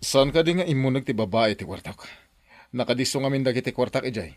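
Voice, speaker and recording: A man's voice: medium pitch, expressive, fast, no room sound, close to an everyday voice. A man as a bitter, accusing boss. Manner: sighing, resentful, raises voice. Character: bitter middle-aged male boss, suspicious and accusing.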